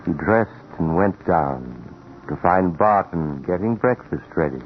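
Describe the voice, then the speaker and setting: A man's voice: low-pitched, expressive, measured pace. A man acting as an ominous storyteller, giving scripted narration in 1940s radio suspense style. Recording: muffled, noisy 1940s radio recording.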